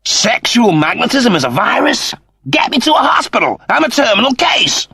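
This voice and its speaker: A man voicing a vain, excitable cat-man who exclaims melodramatically. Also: very high voice, normal speed, vocal fry, husky, dry recording, voice pushed up, exaggerated voice. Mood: melodramatic. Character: vain, excitable humanoid male cat, dramatic and shallow.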